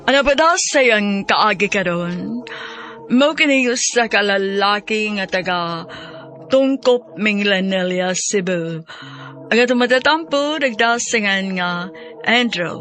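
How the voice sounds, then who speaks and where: A man's voice: sits high, lively intonation, slow pace. A man with a Cebuano accent announcing a scripted radio drama in an emphatic, declamatory way. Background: music.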